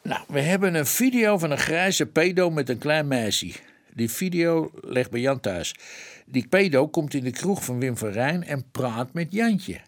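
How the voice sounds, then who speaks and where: An actor playing a blunt, eager police sergeant in a Dutch radio play, colloquial and stumbling over long words. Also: male voice, a little high, lively intonation, unhurried. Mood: eager, reasoning. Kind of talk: Dutch radio drama acting.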